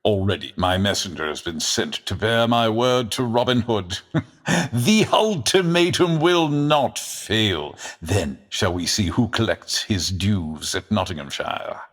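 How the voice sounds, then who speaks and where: A gloating, slow-talking villainous sheriff. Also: male voice, lowish voice, animated, fairly bright tone. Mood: menacing, triumphant. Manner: grandiose, theatrical declaiming. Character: gloating villainous medieval English sheriff, grandly self-assured. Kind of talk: old-time radio adventure acting, archaic English.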